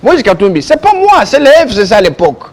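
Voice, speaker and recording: Congolese guy in a tv political debate, high pitched voice, pushy and emphatic, keeps repeating stuff. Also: wildly swooping pitch, fast. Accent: Congolese French. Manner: insistent, argumentative, emphatic, repeating himself. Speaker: political guest, youth league president. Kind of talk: heated televised political debate. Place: television studio.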